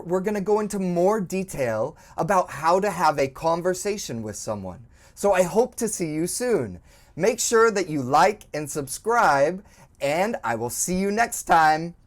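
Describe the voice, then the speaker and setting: A woman teaching an English lesson, speaking slowly and clearly, encouraging and prompting the learner to repeat. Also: on the low side. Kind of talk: slow, clearly spoken language lesson.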